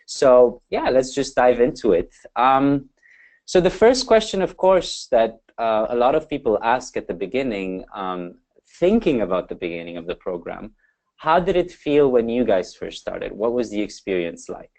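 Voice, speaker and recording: A man's voice: medium pitch, very animated, moderate pace, darkish tone. A man moderating an online webinar panel, upbeat and filler-heavy, speaking over a video call. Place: Amsterdam.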